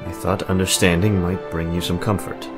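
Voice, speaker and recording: Man acting low-voiced formal scholarly young mage. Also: little pitch movement. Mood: awkward, apologetic. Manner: formal, explanatory, measured. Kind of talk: visual novel character acting. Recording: music bed behind the voice.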